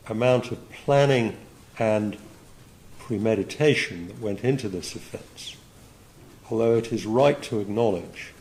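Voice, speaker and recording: A male judge speaks very slowly in a low voice. He delivers formal sentencing remarks in measured phrases with pauses, with faint background noise in a courtroom. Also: dark tone, rough recording.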